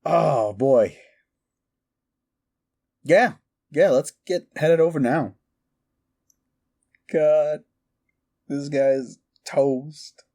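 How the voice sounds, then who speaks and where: A man playing a gleeful, mischievous accomplice in a comedic audio roleplay, chatting excitedly with hesitant asides. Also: fairly high pitch, drawn-out words, pauses often, voice pushed up, heavily acted. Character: gleeful, mischievous young man, amused accomplice in dark prank.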